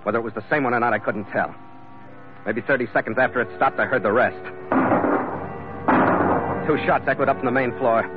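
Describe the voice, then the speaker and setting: A man's voice: mid-range voice, steady tone, brisk, long pauses, close to an everyday voice. An actor playing a tough, hot-tempered sea captain who narrates his own adventure in a terse, dramatic old-radio style. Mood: tense, restrained. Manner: hard-boiled, terse, dramatic delivery. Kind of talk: 1940s-50s radio adventure drama acting with first-person narration. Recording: dull vintage radio recording, loud music behind.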